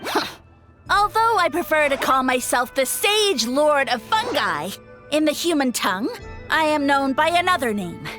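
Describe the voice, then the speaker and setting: A woman's voice: high voice, sing-song, unhurried, fairly bright tone, heavily acted. A woman voicing a haughty, scheming mushroom villain who poses as a god, pompous and theatrical, in a video game. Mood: smug. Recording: music behind the voice.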